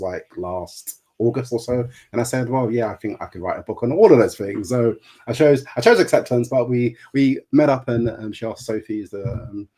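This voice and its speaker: A middle-aged man with a low-pitched, mellow voice talks through his career as a guest on a remote interview. He stutters, so words and sounds repeat as he goes. He speaks in an easy, conversational way. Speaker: guest, therapist and life coach.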